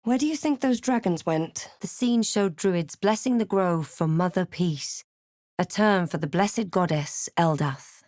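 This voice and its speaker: A close, dry recording of a woman playing a female adventurer from a video game. She is wry and quietly guarded, speaking in a lowish register with measured, understated delivery, as if observing and recounting. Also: no room sound, natural voice. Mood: measured, watchful. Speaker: video game player character. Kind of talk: scripted game voice lines and past-tense narration.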